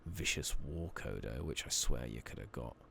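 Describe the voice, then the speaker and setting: A soft-spoken man giving hushed ASMR commentary on a game playthrough, murmuring and musing aloud in an unhurried way. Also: very deep voice, animated, gravelly, a little hiss or hum. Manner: hushed, murmured, sometimes slipping into whisper. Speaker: gaming channel host.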